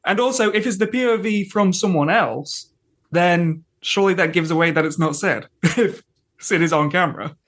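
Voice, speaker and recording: A man's voice: high voice, normal speed, a bit bright. A man on a podcast panel, chatting casually and reasoning things out aloud with questions. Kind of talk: casual chat.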